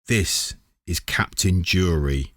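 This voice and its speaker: A man voicing a knowing, loyal talking cat with quiet menace in a children's storybook. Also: deep voice, slow pace, dry recording, studio quality, exaggerated voice. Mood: commanding.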